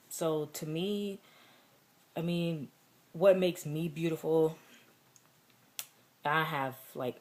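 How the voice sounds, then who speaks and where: A young woman with a deep voice, talking earnestly and reflectively in a personal vlog, with many fillers. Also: darkish tone. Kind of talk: personal vlog contest entry.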